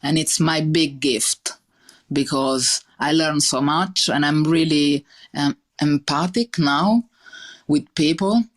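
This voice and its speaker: A woman's voice: sits low, takes it slowly. A woman in her thirties with a strong Italian accent, speaking earnestly in a podcast interview, with many fillers and restarts. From Italy. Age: 30s. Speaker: chef guest.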